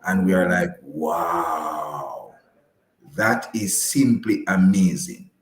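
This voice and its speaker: A man's voice: deep voice, extremely slow, reverberant. A man with a Jamaican accent giving conversational advice as a radio guest, emphatic and didactic, repeating himself and often checking in with the listener. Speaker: guest explaining personal finance. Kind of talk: conversational explanatory radio talk.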